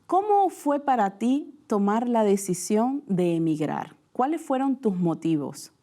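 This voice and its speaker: Venezuelan woman hosting a faith talk show, fairly high-pitched, leans in with questions. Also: very animated, unhurried. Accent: Venezuelan Spanish. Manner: warm conversational questioning, frequent "mira". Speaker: host. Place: TV studio.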